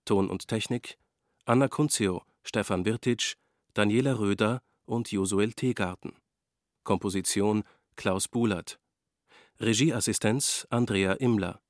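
A man's voice: fairly low pitch, unhurried, no echo, studio quality. A man reading scripted radio-play credits as a formal, factual announcer.

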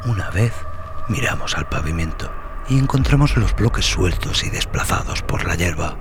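A man narrating a horror tale in a solemn, deliberate, dramatic way with deliberate pauses. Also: deep voice, lively intonation, background noise. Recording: voice over prominent background music. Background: music.

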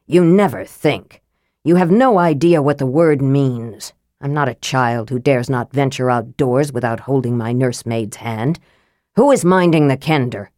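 A frail male mage played by a woman reader, low-pitched, soft and damaged in voice. He is irritable and sardonic, with the condescending bite of a storybook sorcerer, heard in a dry recording. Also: unhurried, no echo. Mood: scornful. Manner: cutting, condescending. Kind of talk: audiobook character voice.

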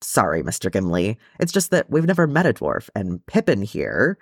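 A man's voice: on the low side, huge pitch swings, normal speed, husky, no echo, studio quality, full character voice. A man voicing a polite, easily shocked young male hobbit in a storybook, earnest and exclaiming. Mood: apologetic. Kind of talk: storybook character reading.

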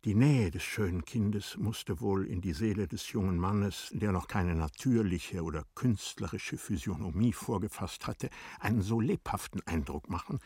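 A man narrating literary prose from a script in a measured, formal manner for radio. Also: deep voice, slightly rough, dry recording, studio quality.

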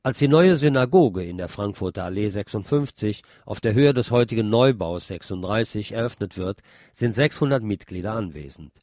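A man's voice: lowish voice, lively intonation, normal speed, a little mellow, dry recording, clean studio sound. A man presenting a programme, reading written articles and reviews aloud. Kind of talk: read-aloud newspaper article.

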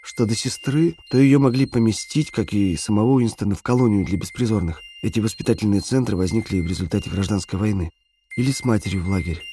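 A man's voice: deep voice, mellow, slightly rough. A man giving a dramatic scripted narration of a novel as the narrator of an audio play. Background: music.

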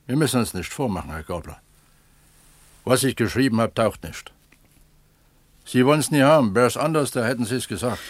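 A man acting as an older pump attendant in a 1970s radio play. He is proud and plain-spoken, with a mid-pitched voice and terse phrasing, recorded close and dry. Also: lively intonation, moderate pace, long pauses, no echo, natural voice. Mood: resigned, stubborn. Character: proud, blunt older male pump attendant and amateur writer. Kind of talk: 1970s East German radio drama acting.

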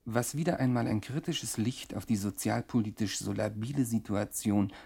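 Mock-documentary narrator: husky, pompous, dryly ironic, deliberate phrasing. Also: male voice, fairly low pitch, mellow. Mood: solemn irony. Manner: pompous, deliberate, tongue-in-cheek. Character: pompous male commentator, dryly mock-scholarly.

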